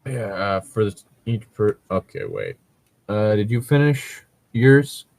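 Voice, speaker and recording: A man's voice: fairly low pitch, wildly swooping pitch, slow pace, vocal fry, darkish tone, no echo. A man reading aloud and commenting casually, in an informal, sweary way as he reacts to the text. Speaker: co-host of a fan fiction reading show.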